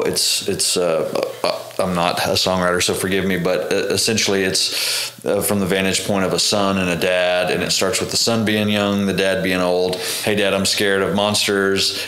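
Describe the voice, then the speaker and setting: A man's voice: low-pitched, a bit bright, reverberant. A man in his thirties with an American accent, chatting casually and telling stories as a podcast guest, enthusiastic and self-deprecating. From Oklahoma, United States. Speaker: touring bass player, podcast guest. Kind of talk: casual conversational storytelling. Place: small indoor room. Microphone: podcast microphone close in front.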